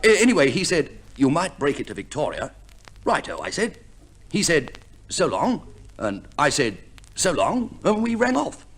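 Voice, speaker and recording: An actor playing an exasperated, put-upon husband in an old radio comedy play, blustering and hesitant with a British accent. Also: male voice, on the high side, wildly swooping pitch, moderate pace, fairly bright tone, exaggerated voice. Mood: flustered, exasperated. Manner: blustering, hesitant, drily understated. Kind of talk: 1940s-50s radio play acting.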